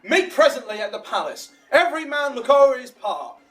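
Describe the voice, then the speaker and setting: A man's voice: very high voice, very animated, normal speed, a bit bright, heavily acted. A man playing an exuberant, self-important amateur actor in a theatrical Shakespearean audio drama, declaiming grandly and bossily. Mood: excited, bossy. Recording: roomy, distant mic, music behind.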